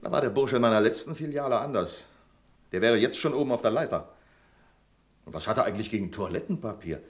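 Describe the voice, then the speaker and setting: An actor playing a pedantic, imperious bank manager in a German radio play, curt and condescending in manner. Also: male voice, medium pitch, lively intonation, fast talker, natural voice. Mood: indignant. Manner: curt, condescending, fault-finding.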